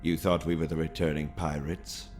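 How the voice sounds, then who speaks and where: Man acting a deep, formal sci-fi officer. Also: little pitch movement, a little mellow. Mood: measured, formal. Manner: measured, formal, precise. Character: calm, formal, authoritative male military lieutenant. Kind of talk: sci-fi audio comic dub. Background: prominent music.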